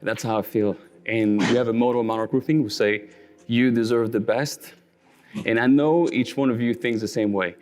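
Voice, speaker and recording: A man's voice: on the low side, fast talker, darkish tone, smooth, faint music behind. A man giving a passionate motivational talk on a video call, asking his listeners rhetorical questions. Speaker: guest speaker, roofing company leader.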